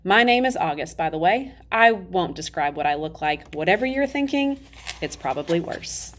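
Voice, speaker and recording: A matter-of-fact ten-year-old boy tells his own storybook tale , read by a woman with a slightly low voice. The delivery is candid and confiding, like plain conversation. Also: lively intonation, normal speed, natural voice. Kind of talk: children's novel read-aloud.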